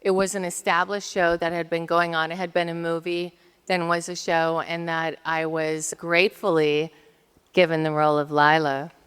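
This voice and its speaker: Smooth-voiced woman in an echoing hall. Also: fairly low pitch, flat delivery, normal speed. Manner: warm, effusive, grateful. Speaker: actress panelist. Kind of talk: panel talk. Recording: long hall reverb.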